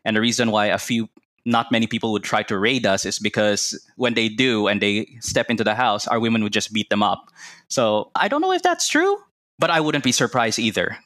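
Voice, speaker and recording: A man's voice: fairly low pitch, lively intonation, fairly quick, a bit bright, no room sound, studio quality. A Filipino man from Cebu, a Cebuano speaker, chatting enthusiastically as a podcast guest. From Philippines (Cebu). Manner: chatty and enthusiastic, laughs often. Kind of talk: casual conversational interview.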